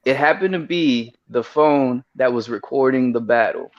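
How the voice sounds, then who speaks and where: A man's voice: mid-range voice, moderate pace. A man with a stuffy, nasal voice from a cold, chatting casually on a podcast in a laid-back, slangy way. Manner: laid-back slangy talk with easy laughs. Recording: muffled with faint hiss.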